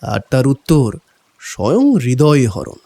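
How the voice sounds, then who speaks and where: Grave expounder of folk lore, animated pitch. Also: male voice, unhurried, creaky. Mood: firm, probing. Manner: explanatory, emphatic, rhetorical questions. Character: grave, knowledgeable man explaining village folk belief. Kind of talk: Bengali horror audio drama acting.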